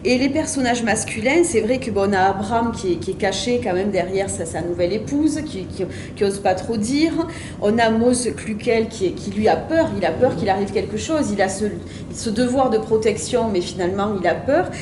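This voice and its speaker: A woman's voice: mid-range voice, expressive, normal speed, echoey room, noisy background. A woman interviewing an author about his novel, agreeing often and summing up the book. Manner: attentive, frequent short agreements.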